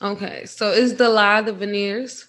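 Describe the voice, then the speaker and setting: A woman's voice: mid-range voice, steady tone, a little slow, a bit bright. A woman, hosting a podcast interview in a chatty, laughing way. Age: 20s. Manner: laughs often, chatty, uses fillers. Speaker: podcast host. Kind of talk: casual interview chat.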